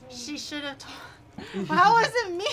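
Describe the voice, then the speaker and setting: A woman whose first language is Mandarin, hosting a casual livestream chat in a home kitchen as a lively storyteller who laughs often. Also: very high-pitched, unhurried. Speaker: streamer host.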